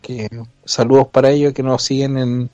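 Slightly muffled and very dry, this is a Chilean man with a mid-pitched voice. He chats casually as a radio show panelist. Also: flat delivery, moderate pace, mellow, no echo. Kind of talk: casual chat. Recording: dull, dry lo-fi audio.

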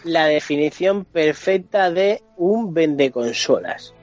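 A man's voice: fairly high pitch, animated, slow pace. A man with an accent from Spain chatting casually and colloquially on a video game podcast, swearing freely. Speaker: podcast panellist. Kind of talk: casual chat about video games. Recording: dull lo-fi sound, faint music.

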